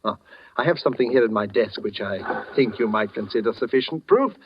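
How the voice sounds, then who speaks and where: A man's voice: mid-range voice, expressive, a little fast, slight background noise, close to an everyday voice. Guy doing a silky overpolite crook from a 40s radio thriller, wordy, scheming, muffled old recording. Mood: coaxing, smug. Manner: elaborately polite, wordy, purring questions. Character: suave, scheming middleman with courtly, wheedling manner. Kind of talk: 1940s radio thriller acting. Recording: dull vintage 1940s radio recording.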